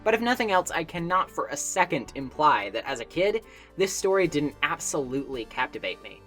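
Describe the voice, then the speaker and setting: A woman's voice: low-pitched, big pitch swings, fairly quick, fairly bright tone. A woman narrating a scripted video essay in an emphatic, conversational way, as its presenter. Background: music.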